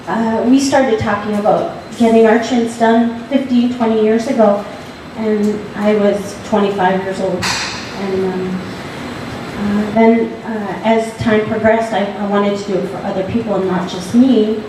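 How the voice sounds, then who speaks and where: A woman's voice: mid-range voice, fairly even intonation, unhurried. A woman from Alaska speaking on a panel, hesitant and full of fillers. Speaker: panelist, visual artist. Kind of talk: panel talk. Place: large gallery hall. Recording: echoey hall from far off, background noise.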